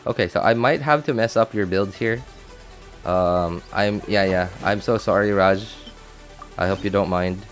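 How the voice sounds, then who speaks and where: A man giving casual, chatty live gameplay commentary as a streamer, thinking out loud and reacting as he goes. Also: fairly low pitch, measured pace, soft background music. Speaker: game streamer.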